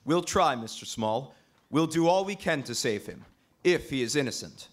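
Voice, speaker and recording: An actor playing a composed, persistent gentleman sleuth in an old-time radio mystery. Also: medium pitch, lively intonation, normal speed, natural voice. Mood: measured. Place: live stage performance at a convention.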